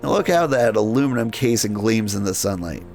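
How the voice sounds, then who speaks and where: A man voicing a genial, well-mannered gentleman animal dealer in a video game, genteel and kindly. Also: on the low side, lively intonation, a little fast, faint music behind. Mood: consoling.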